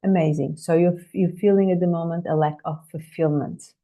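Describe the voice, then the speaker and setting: Career coach, woman, rough gravelly voice from a cold, low and mellow, earnest questioning delivery over a video call. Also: steady tone, moderate pace. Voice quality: husky, gravelly voice. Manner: earnest, rhetorical questions to the audience. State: has a cold, slight cough. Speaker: career coach leading a workshop. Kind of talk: live online workshop talk.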